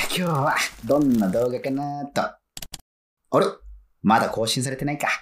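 An actor playing an excitable male fan who lives alone, narrating and muttering to himself in a flustered way in a radio drama. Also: mid-range voice, noisy background. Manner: animated, self-muttering, easily flustered. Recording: faint music behind.

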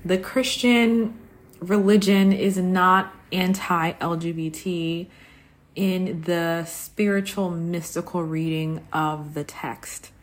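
A woman with a slightly low voice speaks as the host of a personal podcast. Her delivery is very unhurried and reflective, broken into short phrases with pauses between them. The style is conversational, as in a solo monologue to her listeners. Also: fairly even intonation.

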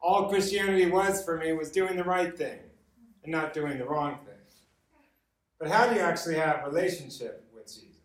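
An echoey recording, picked up at some distance, of an American man preaching. His voice sits on the higher side for a man. He speaks informally, like someone sharing personal stories, and repeats words for emphasis. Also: normal speed. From Los Angeles, United States. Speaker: guest preacher. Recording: roomy echo, distant from the mic.

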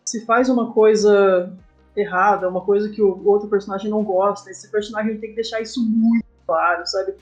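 A Brazilian woman speaking as an interview guest, explaining her creative work casually and thoughtfully in short, halting phrases. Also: medium pitch, steady tone, darkish tone, dull audio. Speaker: interview guest, comic artist and game writer. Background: background music.

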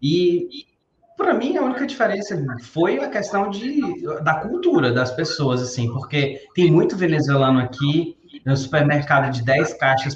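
A man's voice: a little high, roomy sound, muffled recording, background noise. A man from Ceará, Brazil, a guest on a podcast, chatting casually about his work and often repeating phrases as he goes. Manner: relaxed, repeats phrases as he talks. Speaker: podcast guest, biomedical course coordinator.

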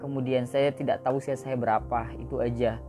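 A man's voice: mid-range voice, level tone, brisk, music in the background. A young man hosting an audio podcast, telling his own story in a casual motivational monologue, chatty and full of fillers, dropping in English words. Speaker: podcast host. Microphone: audio podcast recording.

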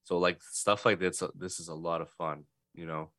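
A Canadian man co-hosts a casual podcast. His voice is very low and sits dry and close to the mic. He talks in a laid-back way, joking and full of fillers. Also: fairly even intonation, moderate pace, a bit bright, dry recording. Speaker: podcast co-host. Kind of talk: casual chat.

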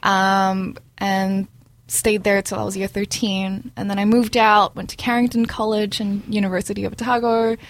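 A woman's voice: mid-range voice, level tone, moderate pace. A woman in her twenties from Brunei, a junior doctor, chatting casually as a guest with many ums and frequent laughs. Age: 20s. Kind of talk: casual interview chat.

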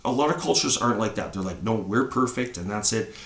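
A man's voice: fairly low pitch, fast, fairly bright tone, echoey room. A man in his thirties from Canada, chatting on a podcast, rambling and tagging on "right?" again and again. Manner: rambling, says "right?" often. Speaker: podcast co-host. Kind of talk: casual chat.

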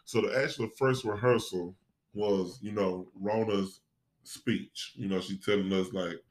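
A man's voice: fairly low pitch, steady tone, unhurried. A man with an American accent chatting casually in a group, plain-spoken and slangy. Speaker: stage actor in a cast discussion.

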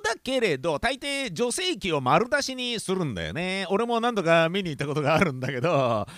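A man's voice: sits high, big pitch swings, a little fast, a bit bright, no echo, clean studio sound. A man playing a lewd, pushy, clownish police detective in a comic audio drama, wheedling and leering. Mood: leering, teasing. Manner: leering, wheedling, yelps in exaggerated pain.

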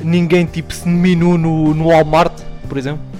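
A man's voice: on the high side, little pitch movement, normal speed, darkish tone, faint music behind. A man with a European Portuguese accent chatting casually as a co-host, slangy and wryly joking.

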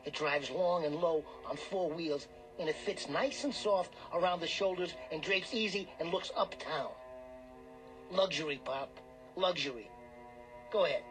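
Pushy small-time hustler in a radio play, high voice, cocky slangy needling, music under it. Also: male voice, poor audio. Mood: bullying. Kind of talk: radio drama acting.

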